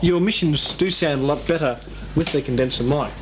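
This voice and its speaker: A man's voice: a little high, brisk, heavy background noise. A man chatting casually as an amateur radio operator, rambling and hesitant, often repeating himself.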